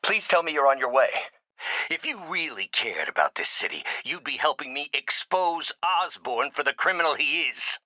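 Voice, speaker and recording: A man's voice: a little high, sing-song, normal speed, bright, forceful tone, dry recording, exaggerated voice. A male actor playing a brilliant, proud and embittered middle-aged scientist and mentor turning villain in a video game, with articulate, theatrical delivery. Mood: impatient. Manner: articulate, theatrical, erudite phrasing. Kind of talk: dramatic scripted game dialogue.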